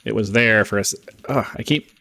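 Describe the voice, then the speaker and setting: A man's voice: on the low side, fairly quick, gravelly, pushed and bright. A man hosting a gaming video, giving casual, rambling commentary in an offhand, informal way.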